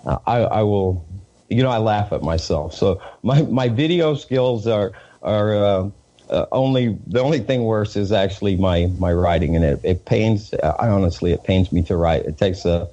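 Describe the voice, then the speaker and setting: A man with a Southern US accent, a reporter being interviewed, talking in a halting way with many fillers and repeated words. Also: on the low side, warm tone, muffled recording. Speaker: independent news reporter, guest. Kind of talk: casual interview talk.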